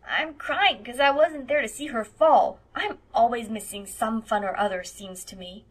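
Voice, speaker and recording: A woman's voice: a little high, expressive, normal speed. A woman voicing a blunt, defiant eight-year-old farm boy with childish, folksy grammar in an audiobook. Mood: bitter. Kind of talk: audiobook character voice.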